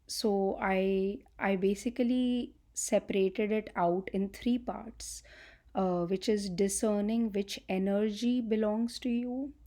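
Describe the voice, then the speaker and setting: A young adult woman talking casually to her viewers, speaking slowly and softly with frequent fillers, recorded without a microphone. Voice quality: low-pitched voice by her own account. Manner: soft-spoken, slow and explanatory, frequent fillers. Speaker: channel host and healing coach. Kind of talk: casual talk to camera. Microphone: no microphone, recorded on device.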